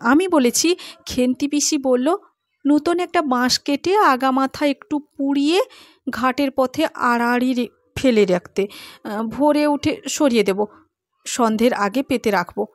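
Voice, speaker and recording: Anxious village wife, high and pleading , read by a woman narrator, close dry sound. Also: a little slow, dry recording. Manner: high, urgent, fearful pleading.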